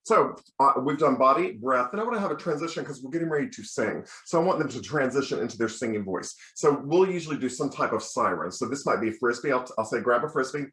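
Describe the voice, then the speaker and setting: Male American lecturer, children's choir teacher, mid-pitched speaking voice, encouraging instructional delivery, audibly echoey recording. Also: lively intonation, fast, few pauses, fairly bright tone. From United States. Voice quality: bass singing voice. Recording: roomy, echoing sound.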